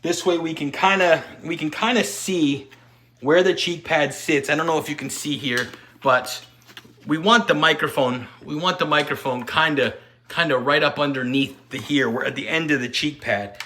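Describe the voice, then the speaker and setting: Bright-voiced man giving a hands-on tutorial. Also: fairly high pitch, moderate pace, slight background noise. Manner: step-by-step, talking while working with hands. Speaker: product reviewer giving installation tutorial. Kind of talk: hands-on how-to demonstration, unscripted.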